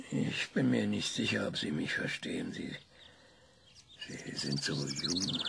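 An actor playing a proud, bitter older male scientist in a radio play, sardonic and lively. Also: on the low side, vocal fry, very noisy, exaggerated voice.

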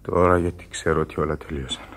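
An actor playing a dying sailor in a radio play, weak and pleading. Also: male voice, rumbling low, fairly even intonation. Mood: weary. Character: dying sailor, fearful then resigned. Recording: faint hiss of old radio recording.

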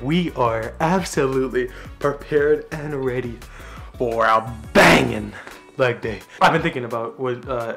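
A young man vlogging casually, rambling and swearing, getting loud and excited at times. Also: fairly high pitch, creaky. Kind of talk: casual gym vlog talk. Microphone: camera mic.

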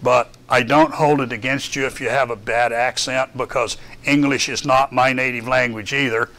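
An older man from Texas with a Southern accent, casually walking a class through software in a darkened lecture room, thinking aloud with self-deprecating asides. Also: mid-range voice, fairly even intonation, normal speed. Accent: Southern US, Texan. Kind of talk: software lab walkthrough. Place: dark lecture room with projector screen.

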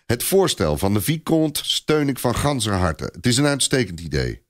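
A man playing a gruff, stubborn knight commander in a story, snapping and grumbling. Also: mid-range voice, big pitch swings, moderate pace, voice pushed up, lightly acted. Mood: heated.

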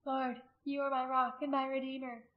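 A woman's voice: very high-pitched, moderate pace, dull audio, voice pushed up. A woman narrator voicing a devout condemned wife in an audiobook, earnest, grieving yet steadfast. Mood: quiet faith. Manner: earnest, pleading, prayerful.

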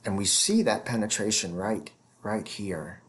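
A man in his fifties giving an explanatory theology lecture as a professor, speaking deliberately and stressing key words. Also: lowish voice, expressive. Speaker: professor teaching scripture.

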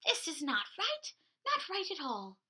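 Three kindly storybook sisters who fuss and exclaim over things, as a woman voices them. They speak in a high, bright voice with lively rises and falls. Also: measured pace, full character voice.

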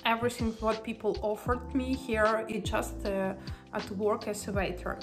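Ukrainian-accented young woman, halting and earnest. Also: fairly high pitch, fairly even intonation, over music. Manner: earnest, halting with frequent "uh" pauses. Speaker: vlogger. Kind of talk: casual vlog. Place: bright living room indoors.

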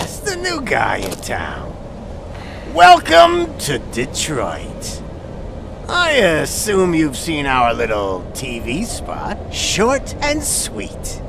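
An actor playing a cocky crime boss in a game, drawling, mocking and theatrical. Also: male voice, fairly high pitch, wildly swooping pitch, slow, vocal fry, background noise, full character voice. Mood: mocking, showy.

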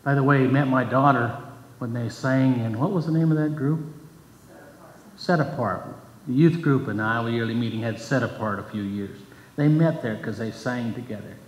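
An older man giving a sermon at a pulpit, earnest and measured, reading scripture between his points. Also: medium pitch. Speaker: pastor. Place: church sanctuary, at a pulpit. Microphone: pulpit microphone, far camera. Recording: echoey church hall from far off.

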